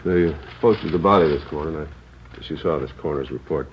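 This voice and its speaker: An actor playing a seasoned chief of detectives who asks brisk, probing questions, in vintage radio drama style. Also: male voice, very deep voice, muffled recording, a little hiss or hum. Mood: questioning. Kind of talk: 1940s-50s radio drama acting.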